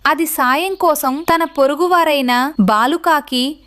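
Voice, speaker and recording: High-pitched woman narrating children's stories. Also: slow pace, fairly bright tone. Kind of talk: scripted children's story narration.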